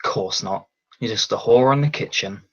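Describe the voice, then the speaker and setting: A man's voice: on the low side, moderate pace. A man playing a sneering, threatening manservant in a dramatic script read, with slightly dull sound. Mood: sneering contempt. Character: menacing, sneering male servant of a lord.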